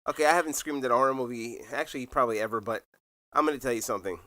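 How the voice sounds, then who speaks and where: Bright-voiced man chatting on a podcast. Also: medium pitch, rapid-fire, no room sound. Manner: relaxed, laughs often, rambling with fillers. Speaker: podcast co-host. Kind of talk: casual chat.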